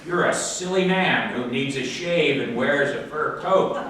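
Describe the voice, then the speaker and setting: A man doing a loud, bossy foreman. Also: a little high, animated, unhurried. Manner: loud and scolding. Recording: echoey room from far off, faint hiss.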